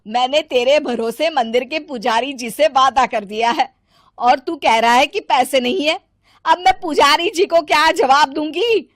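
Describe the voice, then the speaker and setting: Cartoon elderly mother, female performer: demanding, indignant scold, high-pitched, dry recording. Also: a little slow, fairly bright tone, dry recording. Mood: irritated scolding. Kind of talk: animated moral story dialogue.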